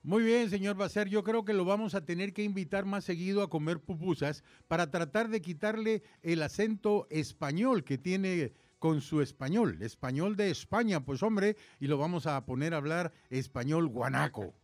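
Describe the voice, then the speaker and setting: A man's voice: high voice, moderate pace, few pauses, no echo. A man with a Salvadoran accent hosting a radio show with casual, chatty banter. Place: radio studio.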